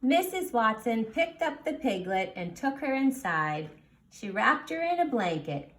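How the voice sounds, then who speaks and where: A woman reading a children's storybook aloud in an animated way, pausing to explain things simply to young listeners. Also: fairly high pitch. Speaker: storybook reader. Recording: roomy, echoing sound.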